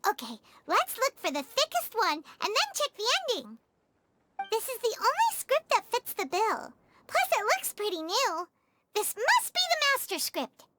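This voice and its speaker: A woman's voice: very high-pitched, huge pitch swings, moderate pace, background noise, heavily acted. A woman voicing a small, curious, eager childlike fairy companion in a video game, with a cute, exclamatory delivery. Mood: curious, pondering. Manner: exclamatory, cute, wide-eyed. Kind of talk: English video game voice acting. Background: music.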